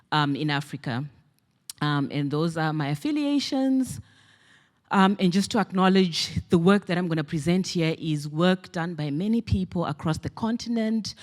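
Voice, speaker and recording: A woman from Zimbabwe giving a conference talk in a measured way, with frequent fillers. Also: sits low, a little mellow. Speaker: conference speaker.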